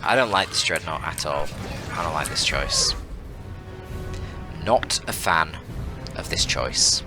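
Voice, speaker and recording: A man's voice: very deep voice, expressive, takes it slowly, over music. A man giving live strategy game commentary in a candid, analytical, casually sweary way. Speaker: esports match caster.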